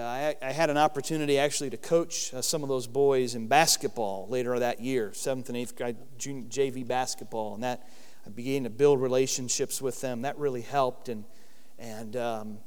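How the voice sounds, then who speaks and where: A man with a loud voice giving an earnest Bible lesson in sermon style, stressing key words slowly. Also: mid-range voice, smooth, big-room sound. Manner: earnest, emphatic with slow stressed phrases. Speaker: Bible teacher.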